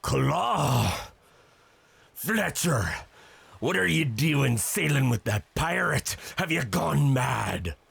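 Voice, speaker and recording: An actor playing a gruff, hearty old sea captain with a salty nautical dialect in an audio adventure. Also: male voice, medium pitch, very animated, extremely slow, a bit bright, no echo, heavily acted. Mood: suspicious. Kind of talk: cinematic audio adventure acting.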